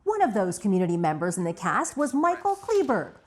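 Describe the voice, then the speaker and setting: A woman's voice: mid-range voice, huge pitch swings, a little fast, darkish tone. A woman reading scripted narration as the narrator of a documentary.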